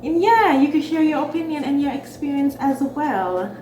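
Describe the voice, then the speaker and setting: A woman's voice: high-pitched, normal speed, distant mic, a little hiss or hum. Chatty Jamaican woman in an echoey room. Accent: Jamaican with Bahamian mix. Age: 30s. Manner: chatty, expressive, repeats words for emphasis. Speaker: vlogger. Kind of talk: casual storytime chat.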